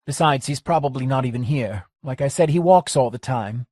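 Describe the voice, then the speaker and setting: A man's voice: mid-range voice, expressive, normal speed, darkish tone, no echo, studio quality, pitched above the performer's own voice, lightly acted. A man doing a curt, tough streetwise woman. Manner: curt, dismissive.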